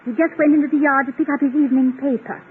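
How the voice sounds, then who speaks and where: An actress playing a poised, playful English wife in old-time radio comedy, with a dry, arch, gently mocking delivery and an English accent. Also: female voice, sits high, steady tone, racing, lightly acted. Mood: calm, teasing. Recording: scratchy, narrow-band old radio broadcast.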